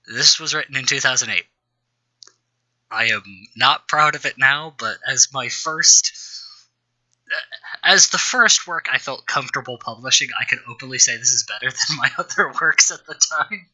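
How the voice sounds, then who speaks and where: A man with a very bright, sharp-edged voice at a medium pitch reads a story aloud as its host. He narrates in a storytelling style and steps out of it for wry, self-mocking remarks about the writing. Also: moderate pace, rough recording. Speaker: host reading his own old fan fiction.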